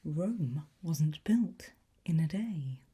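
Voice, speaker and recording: A woman whispering breathily as a teacher in an ASMR roleplay, gentle, unhurried and encouraging. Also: sits low, lively intonation, breathy edge. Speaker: ASMR host playing a language teacher.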